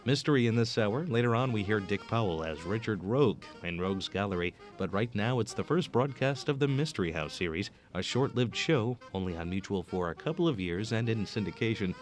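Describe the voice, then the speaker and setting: A man hosting a program of old radio shows, speaking in a smooth announcer manner. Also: fairly low pitch, animated, a little fast, hardly any pauses, faint music behind. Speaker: host.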